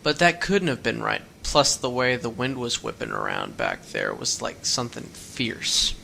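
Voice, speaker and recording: A man's voice: mid-range voice, very animated, moderate pace, vocal fry, sharp tone, a little hiss or hum, exaggerated voice. A rambling teenage runaway boy. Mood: earnest. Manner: plain-spoken, rambling storyteller with folksy words. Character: teenage runaway boy, fifteen, earnest and guarded. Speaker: hitchhiking runaway. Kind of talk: radio drama acting.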